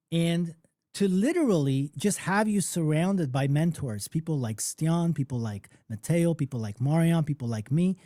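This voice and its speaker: A man who grew up in Poland, hosting a live music-business workshop in a conversational, animated storytelling manner, often checking in with "okay?" and "right?". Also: fairly high pitch, dry recording, studio quality.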